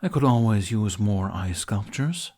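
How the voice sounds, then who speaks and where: A man's voice: lowish voice, lively intonation, a little slow, a little mellow. A man voicing a mischievous male winter spirit who drawls and teases, in a read-aloud story. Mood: teasing. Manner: drawling, teasing.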